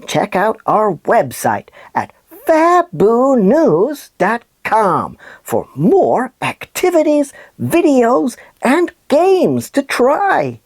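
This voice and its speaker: Very animated puppet kids' presenter played by a woman. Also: fairly high pitch, dry recording, full character voice. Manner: animated, exaggerated delivery. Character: cheerful, excitable sock puppet presenter for kids. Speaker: kids' news show host. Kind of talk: playful scripted children's presenting.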